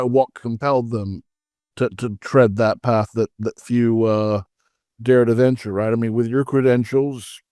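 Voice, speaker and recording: A man hosting a podcast interview, asking questions haltingly with many repeated words and restarts. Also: medium pitch, dark tone, no room sound, studio quality. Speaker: podcast host.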